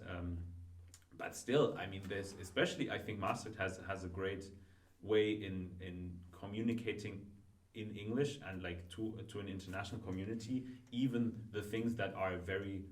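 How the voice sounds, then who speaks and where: Man, low voice, casual podcast chat, hesitant restarts, echoey room, far from mic. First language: not Dutch. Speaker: student guest. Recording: roomy, from far off.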